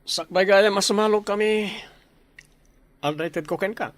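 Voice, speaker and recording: A man playing a scheming, smooth-talking produce buyer in an Ilocano radio drama. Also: high-pitched, measured pace, no room sound. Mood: smooth, flattering.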